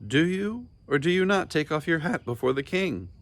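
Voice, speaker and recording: A man's voice: on the high side, expressive, normal speed, fairly bright tone, voice pushed up. A man voicing an imperious, indignant king who barks demanding commands in a storybook reading. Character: imperious, furious king barking commands at a subject.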